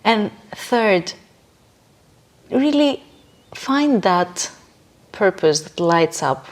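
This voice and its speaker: A woman's voice: medium pitch, very animated, drawn-out words. A woman speaking as a podcast guest and coach in a conversational, motivational way, stressing key words emphatically.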